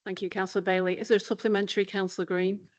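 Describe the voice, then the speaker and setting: A woman chairing a council meeting as mayor, calling speakers in a brisk, formal way. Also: medium pitch, level tone.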